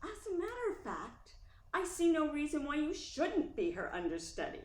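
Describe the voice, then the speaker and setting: An actress playing a confident, friendly society wife in a radio play, expressive and reassuring. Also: female voice, high-pitched, normal speed. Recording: roomy sound with faint music.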